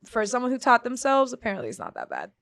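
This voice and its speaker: A woman's voice: fairly high pitch, wildly swooping pitch, fast, vocal fry, a bit bright, no echo. Chatty laughing woman in her twenties. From Germany. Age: 20s. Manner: chatty, laughs often, self-deprecating. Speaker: guest. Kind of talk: casual interview chat.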